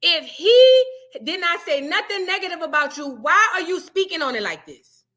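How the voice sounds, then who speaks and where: A woman's voice: extremely high pitch, expressive, normal speed, a bit bright. A woman giving blunt, questioning commentary as she reacts to a clip.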